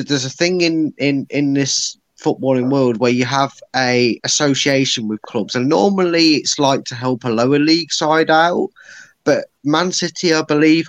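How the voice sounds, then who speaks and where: A man talking through his point in a confident, chatty way on a fan podcast. His voice is mid-pitched for a man. The sound is dry and close, with little room. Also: no room sound. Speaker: football podcast panelist. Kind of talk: casual chat.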